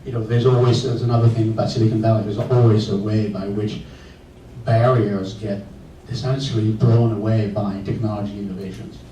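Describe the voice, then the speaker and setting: A man with a low, mellow voice explains a technical point on a conference panel, restarting phrases as he goes. He is heard from a distance in a roomy space with faint background hiss. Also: little pitch movement, a little fast. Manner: explanatory, repeats words. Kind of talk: panel discussion. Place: conference room. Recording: echoey room, voice from far off.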